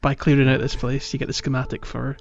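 A man's voice: medium pitch, completely flat delivery, fast talker, gravelly, dull audio. A man co-hosting a podcast in casual, inquisitive, informal conversation. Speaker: podcast co-host. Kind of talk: casual chat, game playalong podcast.

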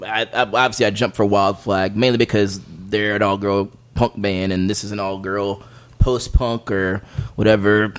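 A man's voice: fairly low pitch, fairly even intonation, normal speed, clean tone. Rambling man co-hosting a music podcast. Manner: rambling, opinionated, crude humour. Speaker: podcast co-host presenting music picks. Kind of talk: casual music chat.

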